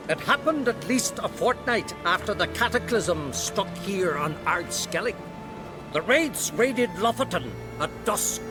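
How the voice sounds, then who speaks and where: High-pitched, stern druid elder. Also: male voice. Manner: theatrical, formal, scolding. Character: stern, indignant druid elder, formal and grave. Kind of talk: video game cutscene acting. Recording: music under the voice.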